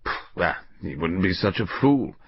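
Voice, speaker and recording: Guy doing a polite anxious gentleman banker, audiobook, husky, really swoopy intonation. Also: deep voice, very animated, unhurried, dull audio, exaggerated voice. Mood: earnest. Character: worried well-bred male junior bank partner.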